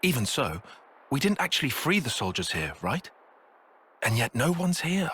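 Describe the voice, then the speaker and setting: A man's voice: medium pitch, big pitch swings, moderate pace, sharp tone, husky, exaggerated voice. A man voicing a cautious, analytical young soldier in a video game, precise and serious in his speech. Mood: wary. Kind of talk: video game cutscene voice acting.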